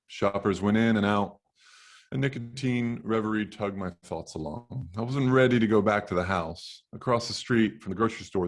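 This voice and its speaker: A man reading a first-person novel aloud as its author, with a dry, wry delivery. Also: on the low side. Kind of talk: literary audiobook-style reading.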